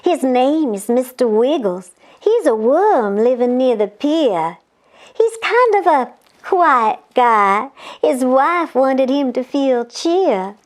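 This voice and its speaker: A woman voicing a kindly, helpful female storybook butterfly in a drawn-out, sing-song way. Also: high-pitched, very animated, full character voice. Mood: thoughtful, advising.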